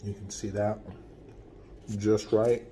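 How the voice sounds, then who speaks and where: A man in his forties chats his way through a food review at home, his voice mellow and a little low. He talks easily between bites, recorded on a phone held close with a faint hiss behind him. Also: takes it slowly. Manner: relaxed, chatty, talks while eating. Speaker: food vlogger. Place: small room at home, window blinds. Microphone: phone camera at arm's length.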